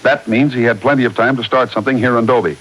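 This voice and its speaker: An actor playing a steady, shrewd cowboy hero, firm and earnest, in a 1940s radio Western. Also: male voice, medium pitch, quick pace, natural voice. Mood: grave, resolute. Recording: hissy, noisy 1940s radio recording.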